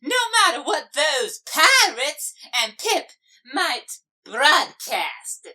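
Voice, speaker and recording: A woman's voice: high voice, very animated, very slow, pushed and bright, heavily acted. A woman voicing an imperious, self-satisfied undersea ruler in a cartoon comic dub, grandiose and dismissive. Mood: smug. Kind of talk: animated comic dub villain acting.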